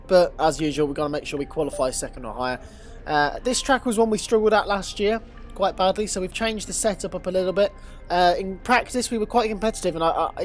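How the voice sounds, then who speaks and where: A young man with a blocked-up nose, hosting a gaming channel and giving eager racing game commentary. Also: high-pitched. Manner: eager, rising to excitement on fast laps.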